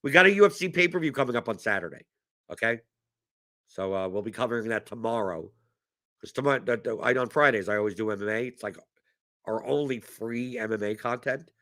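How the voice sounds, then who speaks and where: A man's voice: mid-range voice, a little fast, sharp tone. A man hosting a fantasy sports strategy stream, chatting casually and answering chat questions while losing his voice to a cough, often repeating words as he restarts his thoughts.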